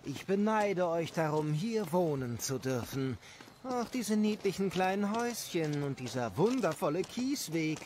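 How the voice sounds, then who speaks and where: A man's voice: on the high side, a little mellow, slight background noise. A man voicing a gushing, oily count with effusive, courtly phrasing in a story.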